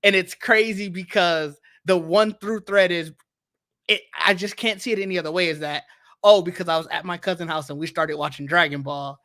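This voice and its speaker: A man's voice: high-pitched, fairly even intonation, moderate pace, bright, forceful tone. A man co-hosting a podcast, talking earnestly and reflectively in casual chat, trailing into fillers. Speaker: podcast co-host.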